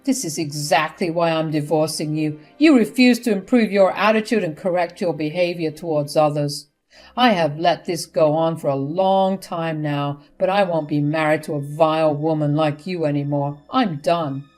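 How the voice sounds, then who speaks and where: A woman's voice: low voice, moderate pace, a little mellow, natural voice. A stern middle-aged husband scolds in a firm, angry voice, as a woman narrator puts him on. He sounds fed up and unbending. Faint music sits under the reading.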